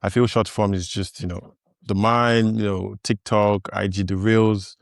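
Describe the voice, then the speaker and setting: A man's voice: lowish voice, flat delivery, measured pace, creaky, dry recording, clean studio sound. A man hosting a podcast in casual conversation, thoughtful, with many fillers and restarts. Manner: thoughtful, lots of fillers and restarts, laughs easily. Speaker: podcast host.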